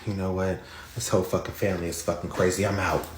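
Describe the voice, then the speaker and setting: A man's voice: deep voice, little pitch movement, fast, slight background noise, voice pushed down, natural voice. A man playing an exasperated ex-con boyfriend in a comedy skit, tired and muttering. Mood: fed up. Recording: roomy echo.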